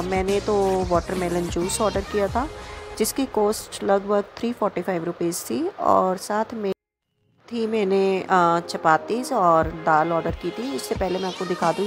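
Young North Indian woman vlogger over music. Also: mid-range voice. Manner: mixes English words and phrases into speech. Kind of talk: casual vlog voice-over review.

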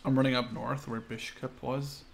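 A man's voice: mid-range voice, little pitch movement, normal speed, vocal fry. Man chatting through a game. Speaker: video game player. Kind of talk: casual co-op gaming chat.

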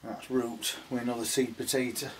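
A man's voice: medium pitch, flat delivery, normal speed, slight background noise. A man in his sixties with an English regional accent gives casual, plain-spoken gardening commentary in an understated way. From United Kingdom. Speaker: gardening vlogger. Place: outdoors in an allotment garden and inside a greenhouse.

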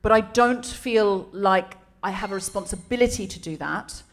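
A woman's voice: medium pitch, normal speed. A woman in her forties with an English accent, hosting a comedy podcast on a theatre stage in front of a live audience, chatty and self-mocking. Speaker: podcast host.

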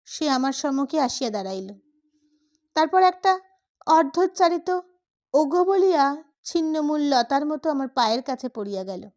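A woman narrates a literary story. Her voice is high, and she reads in a dramatic storytelling style suited to an audiobook. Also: animated, moderate pace, long pauses, a little mellow.

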